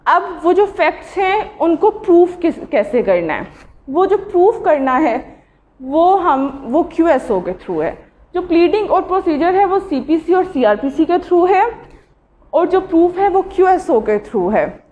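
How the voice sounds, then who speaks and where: Female law lecturer, Pakistani accent, high voice, halting delivery with English terms mixed in, dull lo-fi recording. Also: sing-song, a little mellow. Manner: halting, mixes Urdu and English legal terms. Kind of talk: informal lecture on evidence law. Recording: dull lo-fi audio.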